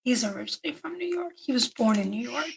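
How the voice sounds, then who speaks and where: A woman's voice: fairly high pitch, animated, fast, creaky, slight background noise. A middle-aged woman from New Hampshire, co-hosting a podcast in casual conversation with a teasing, plain-spoken manner. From New Hampshire, United States. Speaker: podcast co-host.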